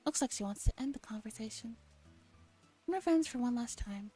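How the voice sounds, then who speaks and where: Woman, slightly high mellow voice, soft ASMR game reading, drifts into whispers, music behind. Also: lively intonation, a little fast. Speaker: streamer reading a visual novel aloud. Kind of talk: soft ASMR visual novel reading, voicing dialogue.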